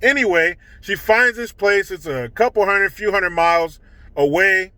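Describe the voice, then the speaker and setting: A man's voice: high-pitched, measured pace, sharp tone, no room sound. A man hosting a vlog, giving casual, opinionated political commentary in a blunt, indignant, profane manner.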